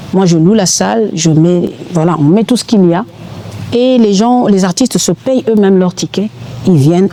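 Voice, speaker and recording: Middle-aged female interview guest, Congolese French accent, dark mellow timbre, light background noise. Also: fairly low pitch. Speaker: gospel singer, interview guest. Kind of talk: unscripted interview talk.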